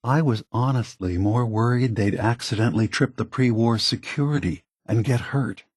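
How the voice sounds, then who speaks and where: A man voicing an ancient, gentle, weary android leader in a video game, measured, halting and earnest, with a soft, husky delivery. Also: lowish voice, no echo, studio quality. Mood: regretful, resolute. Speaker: leader of a synth refuge. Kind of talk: video game character dialogue.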